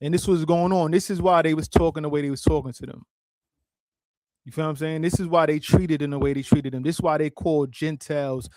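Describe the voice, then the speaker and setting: A man's voice: a little high, a little mellow, no room sound. A man leading a group study over a stream, who repeats what is read, explains it and keeps asking his listeners if they follow. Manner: keeps checking in with "right?" and "you feel what I'm saying?"; repeats lines back slowly for emphasis. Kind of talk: casual spoken teaching, read-along commentary. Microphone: streaming setup, close mic.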